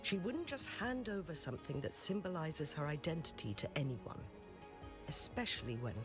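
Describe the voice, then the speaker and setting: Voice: medium pitch, very animated, normal speed, over music, lightly acted. An actor voicing a polite, formal town official in a game, thanking and advising a visitor. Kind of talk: game voice acting.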